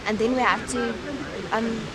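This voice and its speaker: A young South African woman with an Afrikaans-speaking background and a South African accent, talking in a relaxed, thoughtful way with frequent pauses and restarts while she is interviewed outdoors on a campus. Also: fairly high pitch, over a noisy crowd, poor audio. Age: young adult. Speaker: university student. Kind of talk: casual interview. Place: outdoors in a sunny campus garden.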